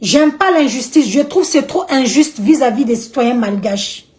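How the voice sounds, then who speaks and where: A woman's voice: sits high, lively intonation, moderate pace, darkish tone. An outspoken woman from Madagascar with a Malagasy accent, talking indignantly to camera in her vlog and repeating points for emphasis. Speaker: vlogger.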